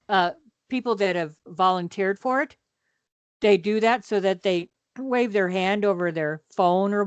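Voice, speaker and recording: A woman co-hosting a talk show, chatting casually in a halting way with lots of fillers. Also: mid-range voice, no echo. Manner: agreeable, halting, many fillers. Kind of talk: casual chat.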